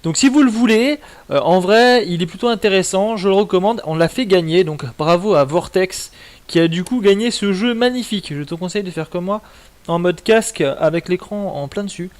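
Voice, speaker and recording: A man's voice: high-pitched, fairly quick. A man giving casual, slangy, mildly sweary commentary as a video game streamer. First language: French.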